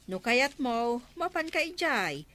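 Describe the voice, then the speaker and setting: Lively, bright Ilocano councillor woman. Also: a little high, takes it slowly, a little hiss or hum, heavily acted. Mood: insistent. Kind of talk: radio drama acting.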